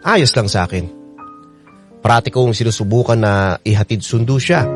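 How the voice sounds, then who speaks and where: A man's voice: fairly low pitch, normal speed, close to an everyday voice. An actor playing a lovesick young man narrating his own story for radio drama, earnest and confiding, with a Filipino accent. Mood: resigned, devoted. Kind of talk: scripted first-person radio drama narration. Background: prominent music.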